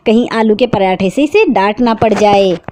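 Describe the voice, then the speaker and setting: A woman voicing a spoiled, sharp-tongued young woman who whines and mocks her sister. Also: medium pitch, normal speed, a little hiss or hum, close to an everyday voice. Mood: mocking. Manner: whiny, mocking.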